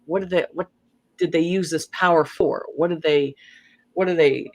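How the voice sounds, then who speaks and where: A woman's voice: deep voice, steady tone, moderate pace. Woman telling stories in rambling conversation. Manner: rambling, peppered with "you know". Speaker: interview guest. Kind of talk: casual conversational storytelling.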